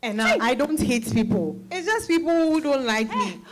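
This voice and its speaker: A woman's voice: high-pitched, lively intonation, moderate pace, a crowd in the background. A woman playing an earnest, defensive younger woman in a dramatized reading, reasoning insistently. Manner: insistent, reasoning, emphatic repetition. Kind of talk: dramatized stage-style reading.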